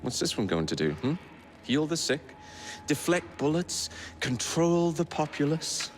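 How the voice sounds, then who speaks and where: An actor playing a cocky, sardonic young Victorian adventurer in a video game, teasing and flippant. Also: male voice, fairly high pitch, big pitch swings, measured pace, a little hiss or hum. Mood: mocking. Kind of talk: video game cutscene acting.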